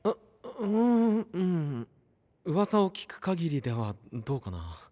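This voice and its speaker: An actor playing a gentle, easygoing young knight with light, friendly, casual phrasing in an anime audio drama. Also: male voice, fairly high pitch, huge pitch swings, slow pace, in a large hall, heavily acted. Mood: hesitant.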